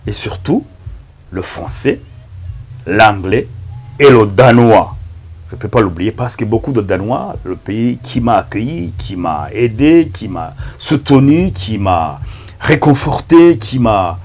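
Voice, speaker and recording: Male Rwandan pastor, Kinyarwanda mother tongue: husky, emphatic, halting preaching delivery with very lively intonation. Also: on the low side. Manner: halting, emphatic, repeats words. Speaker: pastor and evangelist. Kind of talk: preaching introduction to camera.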